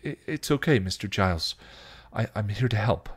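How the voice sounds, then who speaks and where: A gentle young witch from a storybook, as a male narrator plays her. She is shy and soothing, speaking softly with a hesitant stammer and a kind, calming manner. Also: lowish voice, normal speed, slightly rough.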